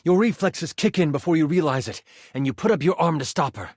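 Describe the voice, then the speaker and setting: A theatrical game master with a fairly high male voice. He narrates fantasy adventure with suspense and weighted pauses, recorded close and dry. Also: expressive, darkish tone, dry recording. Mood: vivid, suspenseful. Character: living twenty-sided die, dramatic male game master. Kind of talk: dramatic tabletop fantasy narration.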